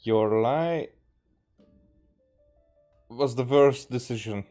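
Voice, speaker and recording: A man from Hungary comments casually on a game he plays. His medium-pitched voice halts and circles back over words as he thinks, with faint game music underneath. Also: dark tone. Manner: halting, repeating words as he thinks. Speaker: game streamer.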